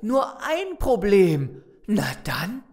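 An actor playing a sarcastic, confrontational, distrustful survivor in a German zombie audio drama. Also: female voice, mid-range voice, sing-song, drawn-out words, darkish tone, a little hiss or hum. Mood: scornful, angry. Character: Simon, distrustful hot-headed survivor of a zombie apocalypse.

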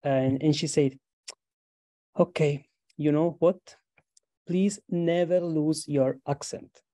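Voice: mid-range voice, steady tone, takes it slowly, warm tone, no room sound, clean studio sound. A graduate student from Italy with an Italian accent, earnestly telling a story on an advice panel. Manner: earnest, hesitant fillers.

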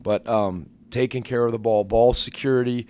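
A man in his forties, a basketball head coach answering questions at a postgame press conference into a table microphone, measured and earnest with many fillers and restarts. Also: medium pitch, steady tone, a little mellow, clear, resonant tone. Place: press conference room with sponsor backdrop.

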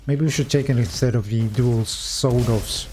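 A man's voice: mid-range voice, normal speed, background noise. A man with a non-native English accent giving casual gameplay commentary, reading upgrade text aloud and chatting to viewers.

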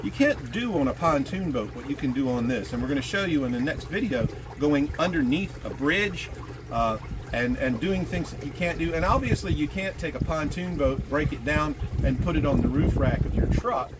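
A man in his sixties casually demonstrating boat motors, talking in a lively, rambling way and correcting himself, recorded outdoors on a small boat by a mounted camera. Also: fairly high pitch, normal speed. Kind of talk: casual product demo. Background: motor and water noise.

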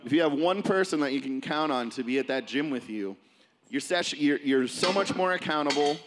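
A man's voice: on the high side, lively intonation, a little fast, background noise. A man leading an informal live gym seminar as a coach, casual and motivational, talking to a group. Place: large indoor gym, speaking to seated group. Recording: reverberant gym hall, distant camera.

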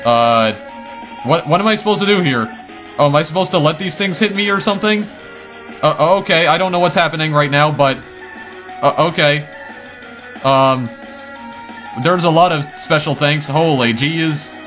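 Chatty, slightly hoarse male gamer. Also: a little high, moderate pace, pauses often, a bit bright, faint music behind. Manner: chatty, easily startled, lots of exclamations. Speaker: let's play gamer. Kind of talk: casual game commentary.

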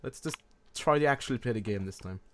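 Guy hosting a co-op let's play, mid-pitched, dry jokes and lots of chuckles. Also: fast, crowd noise. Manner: chuckles often, wry. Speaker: channel host, gamer. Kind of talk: casual co-op gameplay chat.

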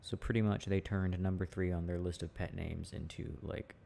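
A relaxed, teasing boyfriend played by a man in an intimate audio roleplay. His voice sits low with a gravelly fry, and he muses haltingly and casually. Some ambient noise sits behind him. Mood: relaxed musing. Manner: halting, musing, chuckling delivery. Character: teasing, easygoing boyfriend chatting with his partner.

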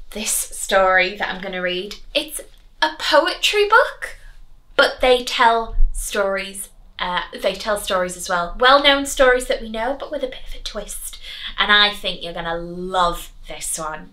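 A young woman teacher reading and chatting expressively to children, clearly enunciated. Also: medium pitch, lively intonation, measured pace, pushed and bright, rough recording. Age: young adult. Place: small living room, on a sofa.

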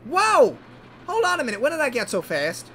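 A man voicing an excitable, easily panicked train engine character who exclaims and repeats words. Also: very high voice, normal speed, a little hiss or hum, pitched above the performer's own voice, heavily acted. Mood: amazed, giddy.

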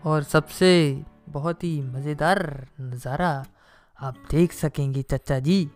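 A man's voice: fairly high pitch, slow, a little mellow. A man speaks as the host of a travel video. His voice-over is casual and conversational, with faint music playing behind it.

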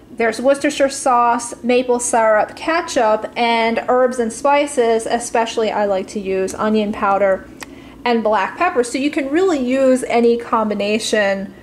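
Woman, cooking host, fairly high voice, casual step-by-step explaining, faint noise behind. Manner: friendly step-by-step instructions with fillers. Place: home kitchen.

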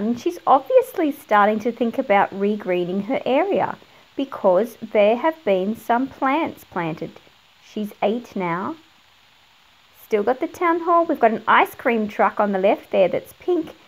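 A woman's voice: fairly high pitch, very animated, measured pace, poor audio. A middle-aged woman narrating a picture book for children, explaining things and putting questions to young viewers. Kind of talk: read-aloud narration for children.

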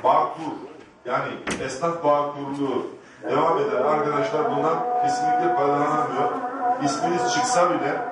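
A man's voice: fairly high pitch, normal speed, very noisy. A middle-aged man, an official explaining rules to a public assembly informally, repeating himself for emphasis. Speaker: official running a public job lottery. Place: large conference hall, on stage. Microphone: handheld PA microphone, picked up by distant camera. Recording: echoey hall PA recorded from far off.

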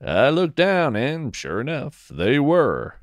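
A man hosting a scripted fiction podcast, narrating as a musing field naturalist in a measured, folksy storytelling manner. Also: medium pitch, wildly swooping pitch, dry recording, clean studio sound. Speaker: podcast host.

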